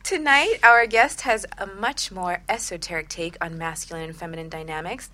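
A middle-aged woman co-hosting a relationship talk show on the radio as a coach, presenting in an enthusiastic, expressive way that moves between script and chat. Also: fairly low pitch, normal speed.

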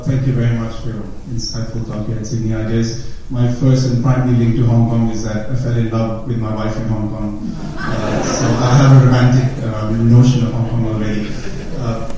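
Flat, dark-voiced man asking from the floor. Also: medium pitch, a little hiss or hum. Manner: hesitant, with fillers and restarts. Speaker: audience member asking a question. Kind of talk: question from the floor. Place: lecture hall at a public forum. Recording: roomy hall, voice from far off.

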